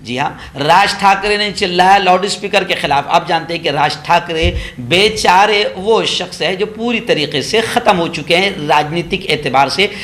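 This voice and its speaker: A man's voice: high-pitched, normal speed, few pauses, fairly bright tone. A man giving opinionated political news commentary, sarcastic and mocking, repeating phrases for emphasis.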